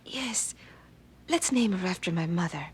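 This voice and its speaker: An actress playing a gentle, calm young wife and expectant mother in a period audio drama, speaking with soothing patience and affection. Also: on the low side, a little slow, slight background noise. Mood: tender.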